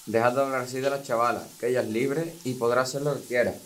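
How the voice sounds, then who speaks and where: A man's voice: mid-range voice, fairly even intonation, quick pace, noisy background, lightly acted. A teenage male student with an Andalusian accent playing a principled, reproachful young footballer in an amateur radio play. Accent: Andalusian Spanish. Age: teens. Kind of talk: amateur radio drama acting.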